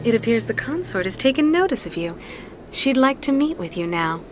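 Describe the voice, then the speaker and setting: An actress voicing a courteous, welcoming receptionist. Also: female voice, a little high, very animated, a little fast. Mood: polite. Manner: polite, welcoming. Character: polite female receptionist, courteous hostess. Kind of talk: video game voice acting. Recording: game audio over music.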